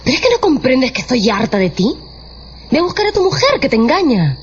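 An actress playing a capricious, volatile young courtesan in an impassioned, theatrical radio drama style. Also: female voice, high voice, big pitch swings, brisk, slight background noise. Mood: scornful, fed up. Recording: dull radio production with music underneath.

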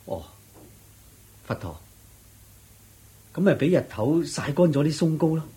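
An actor playing a humble, troubled poor layman in a radio drama, husky-voiced, with a deferential, hesitant way of talking. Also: a little high, very animated, measured pace, warm tone, exaggerated voice. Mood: humble, earnest. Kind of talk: Cantonese radio drama acting.

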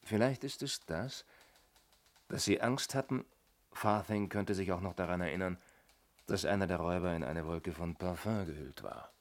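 Shrewd detective, lowish voice, laconic, knowing, wry, vintage radio drama. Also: male voice, normal speed. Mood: wry, confident. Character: shrewd, laconic male detective reporting to his inspector. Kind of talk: 1970s German radio play acting.

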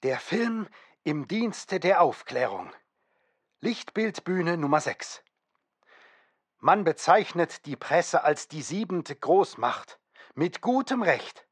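A man's voice: on the high side, very animated, takes it slowly, slightly rough, dry recording, exaggerated voice. A man reading an old press article aloud in a formal, scripted manner for radio drama. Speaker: reader of an old trade-paper article. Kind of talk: scripted declarative reading of 1910s press text.

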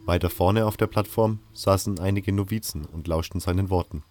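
A man's voice: low voice, brisk, dark tone, slight background noise. A man narrating a fantasy audio drama in the first person, reading from a script.

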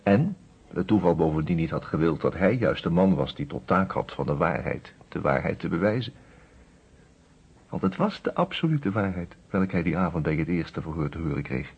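An actor playing a genial, reflective lawyer who narrates a murder case in a radio drama, conversational and measured, with a husky voice on an old recording. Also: male voice, deep voice, expressive, lo-fi sound, exaggerated voice. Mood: wry, musing.

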